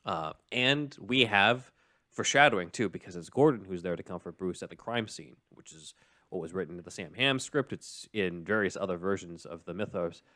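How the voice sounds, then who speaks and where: A man hosting a podcast, casually recapping and commenting on a script in a measured, explanatory way. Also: sits low, animated, bright, forceful tone, no echo, clean studio sound. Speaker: podcast host.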